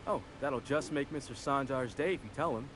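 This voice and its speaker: A man's voice: mid-range voice, brisk, fairly bright tone, close to an everyday voice. An actor playing an eager, folksy young male town greeter who chats freely and is keen to please. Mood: friendly. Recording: game audio with background ambience noise.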